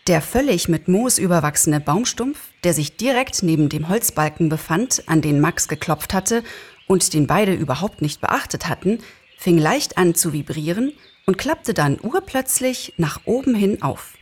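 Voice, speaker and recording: A woman's voice: lowish voice, animated, normal speed, slightly rough. A woman reads a scripted story as narrator in a live performance. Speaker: author and narrator, actress. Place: small theatre, live recording.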